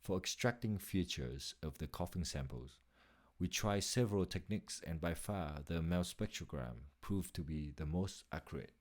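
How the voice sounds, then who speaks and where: A man's voice: deep voice, moderate pace. A man reading a scripted narration for a slide presentation as its presenter.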